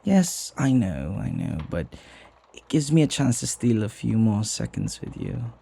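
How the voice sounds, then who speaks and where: A man playing a flirty, devoted butler in a romantic ASMR roleplay, speaking softly and tenderly with teasing and hesitant pauses. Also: lowish voice, sing-song, vocal fry, husky, heavily acted. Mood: coaxing, fond. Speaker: voice actor.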